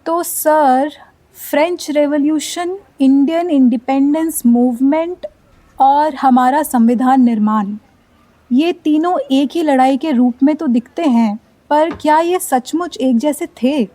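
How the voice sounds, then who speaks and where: An actress playing a curious, admiring young student, inquisitive and reflective, in an educational radio play. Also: female voice, high-pitched, slow pace, dark tone, poor audio.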